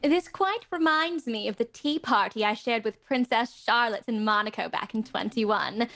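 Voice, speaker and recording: Actress playing a posh, haughty house guest. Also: female voice, on the high side, expressive, normal speed, fairly bright tone. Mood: arch. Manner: posh, arch and affected. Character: haughty worldly society woman house guest. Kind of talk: 1920s radio drama acting.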